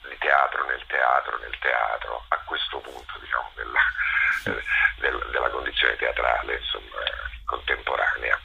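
A man speaks in a reflective interview, stopping often to find the right word. His voice is extremely deep and husky, yet very sharp and forward in tone. The phrases come out in halting pieces. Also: muffled recording. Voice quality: gravelly, husky. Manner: halting, searching for words, with many pauses. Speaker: interviewed theatre director and performer.

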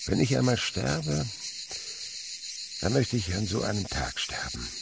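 Radio play lead: old philosophical nature lover, theatrical, hugely varied intonation, clear insect noise behind him. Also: male voice, lowish voice, huge pitch swings, measured pace, full character voice. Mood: wistful. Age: older. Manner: theatrical swings from murmur to exclamation. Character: old insect researcher, rapturous, philosophical, kindly uncle.

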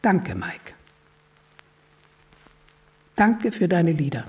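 An actress playing a mourning admirer giving an emotional farewell to a dead singer in a radio play. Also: female voice, on the low side, very animated, drawn-out words, darkish tone, recorded from a distance, exaggerated voice. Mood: grieving, grateful.

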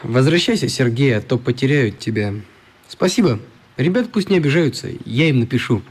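An actor playing a downcast, kind older boy with a husky voice in a radio play. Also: medium pitch, animated, normal speed. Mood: gentle farewell.

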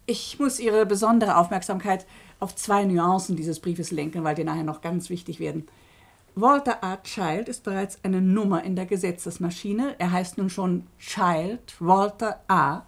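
An actress playing a radio play presenter who explains a case in a pointed, emphatic, ironic way. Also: female voice, lowish voice, normal speed. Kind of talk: German radio drama narration addressed to listeners.

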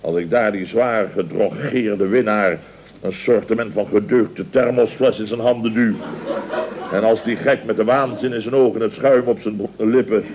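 An actor playing a pompous, blustering older boss in an old radio comedy, exclamatory and theatrical. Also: male voice, medium pitch, background noise, lightly acted. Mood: exasperated sarcasm. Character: blustering, self-important older boss of a building firm. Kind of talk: 1960s-70s Dutch radio comedy acting. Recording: muffled old radio recording.

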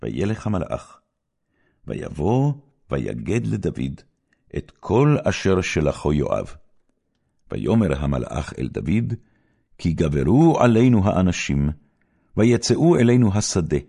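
A man with a very low, creaky voice narrates scripture. His delivery is solemn and measured, yet highly expressive, with wide swings of intonation that give the biblical story dramatic shape.

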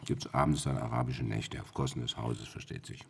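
A man's voice: rumbling low, steady tone, brisk, creaky, breathy edge, full character voice. A man playing a brash, pushy middle-aged fixer in a German radio drama, blunt and cajoling as he talks. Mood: smug, persuasive.

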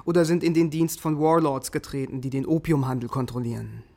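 A man's voice: a little high, steady tone, a little fast, creaky, dark tone, dry recording. A man acting in a radio drama as an embittered, defiant German army sergeant who narrates his own fate with clipped intensity. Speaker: lead actor in radio drama. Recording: music behind the voice.

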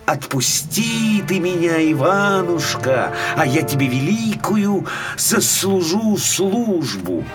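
A man voicing a mighty magical horse from a fairy tale, with solemn, drawn-out, incantatory phrasing. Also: high-pitched, big pitch swings, music in the background, voice pushed up, exaggerated voice. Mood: pleading. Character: magical male horse, mighty, pleading then commanding helper.

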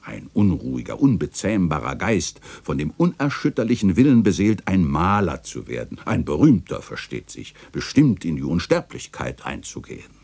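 An actor playing an ageing, fond, bookish chronicler, narrating a literary radio play in a reflective, lyrical, tender way. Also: male voice, sits low, very animated, normal speed, vocal fry, hardly any pauses, a little mellow, husky, full character voice. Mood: fond, lively.

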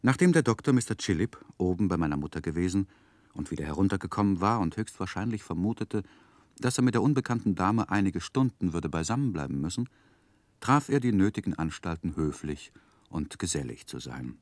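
An actor playing a grown man narrating his own life story in a radio drama, with measured, wry delivery. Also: low-pitched, lively intonation, darkish tone, no echo. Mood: wry, descriptive. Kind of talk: scripted literary narration in 1960s radio drama.

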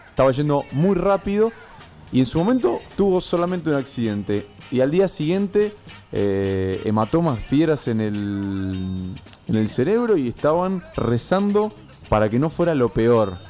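A man's voice: fairly high pitch, sing-song, measured pace, warm tone. A man with an Argentine accent chatting casually and jokingly as a co-host, in colloquial language. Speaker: radio show co-host. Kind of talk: casual chat. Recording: music playing behind the voice. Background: music.

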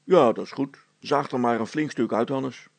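A man acts out a middle-aged handyman father from a storybook, practical and careful. The voice is heavily creaky and rough, recorded very dry, with hearty everyday talk. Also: mid-range voice, moderate pace, no echo. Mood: hearty, matter-of-fact. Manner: colloquial, hearty, plain-spoken.

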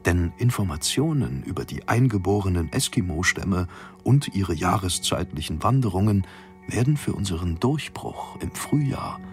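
An actor in a radio drama playing a formal, self-important expedition ship's captain reading out his logbook in measured diction. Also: low voice, animated, faint music behind. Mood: formal, observant.

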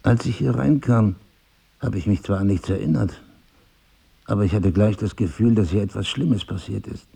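Gravelly, low-voiced brooding husband. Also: male voice, normal speed, dark tone. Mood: musing, probing. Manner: intellectual, probing, sardonic. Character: brooding amnesiac crime writer husband, cerebral, suspicious of himself. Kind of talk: naturalistic radio drama acting.

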